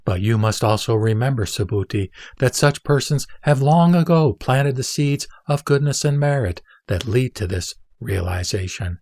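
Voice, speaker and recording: A man playing the Buddha as a wise, serene teacher, speaking formally and deliberately in a scripted audio drama. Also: medium pitch, expressive, darkish tone, no room sound, clean studio sound, natural voice. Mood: solemn teaching.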